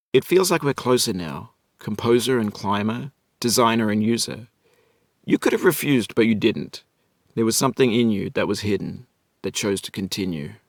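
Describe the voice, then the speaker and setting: A middle-aged man narrating a game in a calm, musing and wry way, reciting quotations and verse. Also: mid-range voice, no room sound, studio quality. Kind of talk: scripted reflective game narration.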